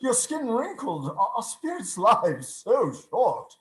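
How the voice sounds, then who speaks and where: A man playing a fearful, humbled old miser in a radio play, halting and pleading. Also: very high voice, huge pitch swings, music in the background, exaggerated voice. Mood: shaken, fearful. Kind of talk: radio play acting, live reading. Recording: noisy, echoey video call with clicks.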